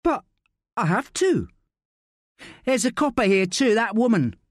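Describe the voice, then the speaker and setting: A man's voice: sits high, big pitch swings, unhurried, dry recording, studio quality, voice pushed up, full character voice. A man voicing a frightened, pleading young woman. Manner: tearful, desperate pleading.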